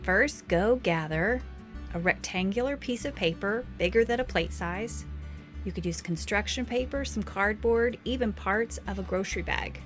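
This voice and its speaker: Woman, craft instructor, step-by-step tutorial narration, medium pitch, faint music underneath. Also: fairly bright tone.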